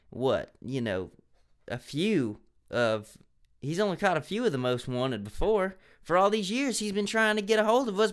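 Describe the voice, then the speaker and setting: A young man reads a cheesy children's mystery aloud with mock drama, throwing in sarcastic asides. His voice sits a bit high for a man and comes through smooth and clear. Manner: sarcastic, laughing at the text. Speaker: reader of a children's mystery book.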